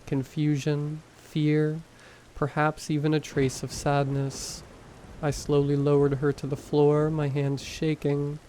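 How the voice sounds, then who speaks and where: A man tells horror stories in a calm, measured way. His mellow voice stays flat and level. A faint patter of rain sits in the background. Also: on the high side, a little hiss or hum, poor audio. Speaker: horror story narrator. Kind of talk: scripted narration.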